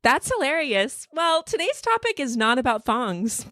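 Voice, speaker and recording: A woman's voice: high-pitched, sing-song, normal speed, a bit bright, no room sound. A woman from the United States in her thirties, co-hosting a casual podcast with bubbly, giggly, playful teasing. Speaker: podcast co-host.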